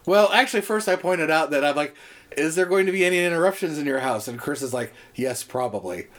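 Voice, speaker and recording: A man's voice: a little high, expressive, fast talker, a bit bright. Man, podcast co-host, rambling, swears casually, voice wearing thin. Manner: rambling, many fillers and restarts, swears casually. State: starting to lose his voice. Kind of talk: casual chat.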